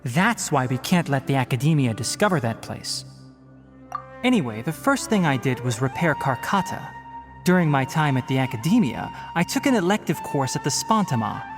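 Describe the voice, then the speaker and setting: A man's voice: mid-range voice, very animated, moderate pace, over music. A man voicing a stern, scholarly young male forest ranger who speaks in a precise, lecturing way, in a game cutscene. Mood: earnest. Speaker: forest watcher.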